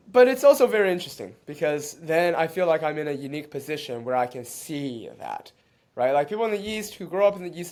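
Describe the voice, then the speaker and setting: A man born in China and raised in Canada, speaking as an interview guest in a reflective, halting way with many restarts and frequent laughs. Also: a little high, darkish tone.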